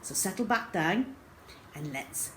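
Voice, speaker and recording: Female children's storyteller, very unhurried, deliberate delivery with big swoops of pitch for a picture-book reading. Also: slight background noise. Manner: slow, deliberate, expressive storytelling with drawn-out words. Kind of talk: children's storybook read-aloud.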